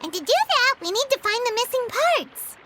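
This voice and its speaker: A woman's voice: very high-pitched, big pitch swings, normal speed, heavy background noise. A woman voicing a tiny, childlike, cheerful fairy companion in a video game, with a perky manner. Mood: perky, curious. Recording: loud music behind the voice.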